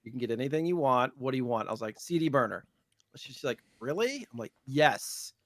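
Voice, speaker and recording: American man, thirties, mid-pitched voice, casual slangy podcast host, dry recording. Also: lively intonation, fairly quick, dry recording. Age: 30s. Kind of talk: casual chat about retro gaming.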